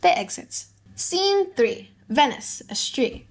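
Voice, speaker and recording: A woman's voice: high voice, very animated, slow pace, poor audio. A woman narrator giving a scripted audiobook reading of a play.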